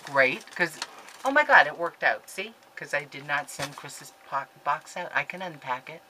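Woman, 50s, low-pitched, excitable and rambling; home webcam sound with clear background noise. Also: normal speed, low-quality recording. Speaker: scrapbooking vlogger. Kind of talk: casual chatty haul video. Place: craft room at home, indoors. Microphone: webcam at desk.

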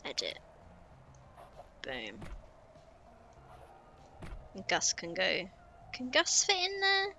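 A young woman talks her way through a building game, muttering and humming to herself as she goes. Her voice is bright and lively, rising and falling freely, and she takes her time. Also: mid-range voice, extremely slow, creaky, long pauses, a little hiss or hum. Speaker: streamer. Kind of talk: casual gameplay commentary.

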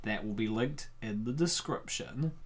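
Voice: medium pitch, a little slow. A host giving casual, jokey reaction commentary on a comic, with a playful, exclamatory manner.

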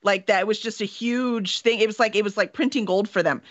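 A woman's voice: mid-range voice, brisk, no echo. Woman hosting, dry close sound. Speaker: podcast host. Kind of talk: casual interview chat. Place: indoor home room, on a video call. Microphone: video call. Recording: dry, slightly dull video-call audio.

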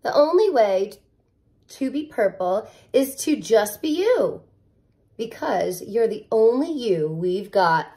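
A woman in her thirties reads a picture book to children at an unhurried pace. Her voice sits high and swings widely in pitch. She is expressive and slips into chatty asides aimed right at her young listeners. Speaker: teacher reading aloud. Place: living room at home, indoors.

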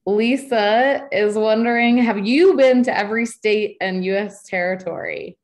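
A woman's voice: mid-range voice, unhurried. A young woman in her twenties hosting a video-call webinar from her living room, gushing and upbeat with lots of filler words. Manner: bubbly, gushing, many likes and ums. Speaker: host. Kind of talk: enthusiastic host moderating a webinar. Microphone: webcam on a video call.

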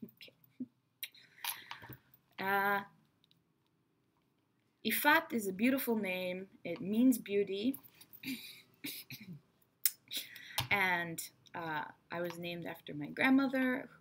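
A woman in her thirties, raised between Israel and America, giving a chatty, frank English lesson to her webcam in a small bright room. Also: mid-range voice, expressive, slow, pauses often, a little hiss or hum. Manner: chatty, frank, teacherly asides. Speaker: English teacher. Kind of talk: online English lesson to camera. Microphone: webcam at desk.

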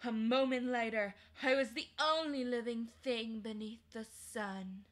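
A woman's voice: on the high side, fairly even intonation, measured pace, close to an everyday voice. A woman voicing a proud, cruel ancient queen in a storybook reading, haughty and declaiming.

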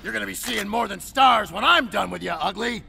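A man playing a middle-aged brawling mayor in a video game, gruff, blunt and combative. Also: high voice, a little fast, background noise. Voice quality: gruff, gravelly. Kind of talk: video game fighter acting. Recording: music behind. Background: game music.

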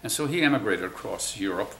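Very deep-voiced man in his nineties. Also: animated, gravelly, a bit bright, echoey room, a little hiss or hum, poor audio. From Cuba. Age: 90s. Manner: slow, halting recollection with many pauses. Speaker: interviewee, WWII navy veteran. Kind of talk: oral history interview. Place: museum room, indoors.

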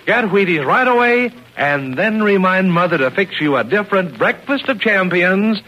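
High, bright American radio announcer man. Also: expressive, moderate pace. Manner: folksy, enthusiastic salesmanship. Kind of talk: 1940s radio announcing and sponsor pitch. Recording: noisy vintage radio recording.

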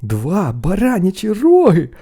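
A man voicing an excitable, naive young peasant lad in a storybook tale, exclaiming eagerly. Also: high voice, normal speed, soft background music, voice pushed up, heavily acted. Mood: excited.

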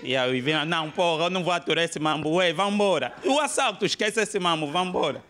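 A man's voice: a little high, lively intonation, a little fast, fairly bright tone. Angolan male stand-up comic, noisy live club. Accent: Angolan Portuguese. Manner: colloquial, peppered with swearing. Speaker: stand-up comedian. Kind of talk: stand-up comedy. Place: comedy club stage with audience. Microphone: handheld microphone.

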